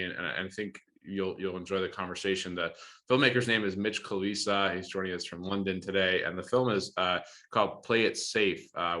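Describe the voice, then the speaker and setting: A low-pitched man with a bright, forceful voice, hosting a podcast interview over a remote link. He talks with enthusiasm, full of "uh"s and restarts as he sets up his questions. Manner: enthusiastic, many fillers and restarts. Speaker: podcast host.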